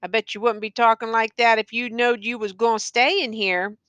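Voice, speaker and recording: A woman reader puts on a young condemned man in an audiobook novel. He is sullen and bitter, taunting his visitor in rural Southern vernacular. The sound is forward, bright and close, with almost no room. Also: a little high, steady tone, moderate pace, smooth, no room sound, close to an everyday voice.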